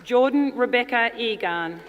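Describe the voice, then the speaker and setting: A woman formally announcing graduates' names at a ceremony, in a measured, deliberate delivery. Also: on the high side, lively intonation, narrow, tinny audio. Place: large indoor ceremony hall. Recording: reverberant hall, audience noise, clear background noise. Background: audience crowd noise.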